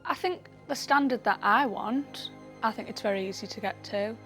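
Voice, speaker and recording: A woman's voice: on the high side, moderate pace, a bit bright, faint music behind. Blunt, casual woman in her twenties. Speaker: interviewee. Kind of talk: documentary interview.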